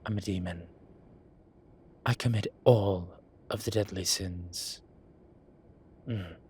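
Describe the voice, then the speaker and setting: A man's voice: deep voice, slow, a little mellow, a little hiss or hum. A man playing a vain, suave incubus demon who poses as a posh professor in an audio roleplay, with a wry, self-satisfied drawl. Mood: wry, teasing. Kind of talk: scripted audio roleplay acting. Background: ambient sound effects.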